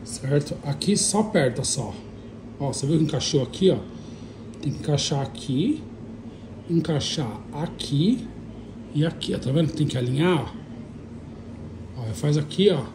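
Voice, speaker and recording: A man's voice: fairly high pitch, animated, normal speed, pauses often. A Brazilian man giving an informal hands-on tutorial in short phrases with frequent little check-in tags. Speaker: motovlogger demonstrating a how-to. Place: indoors, tiled kitchen. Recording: noisy phone recording with handling rustle.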